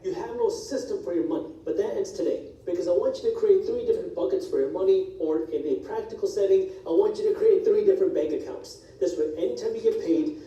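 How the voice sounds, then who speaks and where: A man whose first language is Punjabi, briskly walking viewers through budget numbers in a scripted explainer video. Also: high voice, dark tone, far from the mic. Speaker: personal finance educator. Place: played on speakers in a church hall. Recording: video replayed in an echoey hall, heard from afar.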